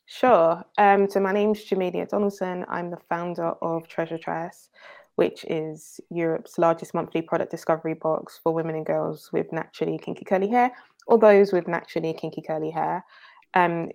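Low-voiced London woman, chatty. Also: steady tone, moderate pace, vocal fry, a little mellow. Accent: London English. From North London, England. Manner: chatty, laughs often, leans on "like" and "um". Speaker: guest entrepreneur. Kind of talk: casual interview talk.

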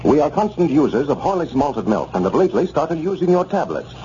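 A man's voice: fairly high pitch, very animated, brisk, dark tone. A man working as a radio announcer, reading a scripted 1930s sponsor message in a polished, formal manner. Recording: muffled, noisy 1930s radio recording.

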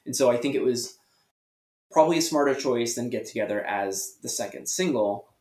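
A man from the US, a podcast guest talking about music in a measured, thoughtful way with some fillers. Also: mid-range voice, animated, a little mellow. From United States. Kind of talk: casual music discussion. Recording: echoey room.

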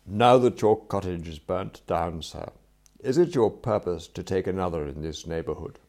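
Give me a man playing an unflappable English valet from a comic storybook, formal and deferential, measured and courteous, in a lowish, slightly gravelly voice. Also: animated, a little mellow. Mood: polite, inquiring. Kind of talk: acted audiobook dialogue.

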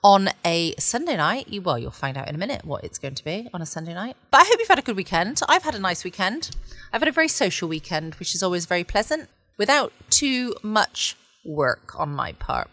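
A woman from England, hosting a calm, chatty bedtime podcast from her home studio. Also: medium pitch, fairly quick, dry recording. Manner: gentle and chatty. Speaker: podcast host.

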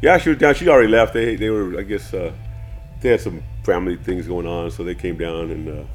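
A man's voice: low voice, brisk, faint music behind. A man with a nasal voice, co-hosting a casual chat, rambling and laughing often. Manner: rambling, laughs often, says dude and bro. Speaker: podcast co-host.

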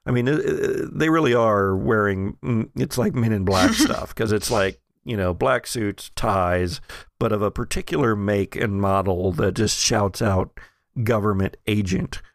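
A man hosting a tabletop game as game master, improvising descriptive narration in a halting way. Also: on the low side, creaky, no room sound. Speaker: game master and podcast host.

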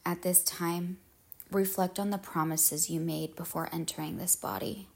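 A woman leading a guided meditation in measured, solemn phrases with pauses, her husky voice trailing into breathiness. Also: fairly low pitch, fairly even intonation, darkish tone.

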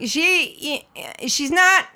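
A woman's voice: very high-pitched, very slow, sharp tone. A woman co-hosting a comedy podcast; she is phlegmy with a cold and chats loosely, restarting her sentences. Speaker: podcast co-host. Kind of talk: casual comedic chat.

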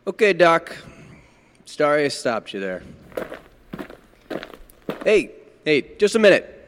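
A man's voice: a little high, sing-song, slow pace. A man playing a folksy, chatty motorcycle traffic cop in a radio play, officious and clipped but casual. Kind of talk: classic radio thriller acting.

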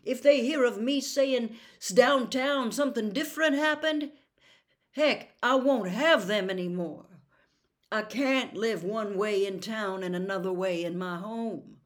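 A woman's voice: on the high side, measured pace, lightly acted. A woman reading a grave, earnest lawyer father. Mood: pleading. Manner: earnest, grim, pleading. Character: grave middle-aged male lawyer and father. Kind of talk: audiobook dialogue reading.

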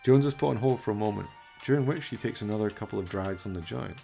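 A man's voice: on the low side, quick pace, vocal fry, dark tone, soft background music. A man delivering theatrical, scripted narration for a comic horror radio play, in an arch, melodramatic manner.

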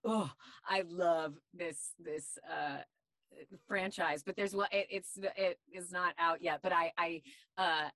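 A woman voice actor with a deep voice, talking candidly and chattily in an interview, with many fillers. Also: sharp tone, dry recording. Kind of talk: casual interview chat.

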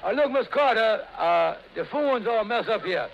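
A man's voice: high-pitched, lively intonation, moderate pace, gravelly. A man playing a flustered, evasive schemer in an old radio comedy, stammering in folksy, ungrammatical speech. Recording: dull, noisy vintage radio recording with clicks.

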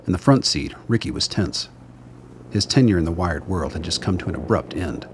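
Male narrator for an audio drama. Very low register, scripted storytelling, ambience underneath. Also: lively intonation, normal speed, background noise.

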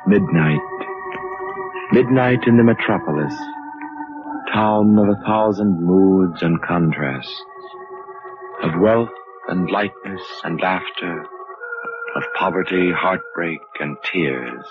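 A man's voice: sits low, takes it slowly, pauses often, over music. A man playing a solemn, portentous narrator of a 1940s radio mystery. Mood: brooding poetic. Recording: muffled old 1940s radio recording.

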